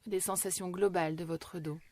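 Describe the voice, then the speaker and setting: A woman guiding a yoga relaxation meditation in a slow, measured, gentle and soothing way, with long pauses. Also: fairly low pitch, steady tone. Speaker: yoga nidra meditation guide.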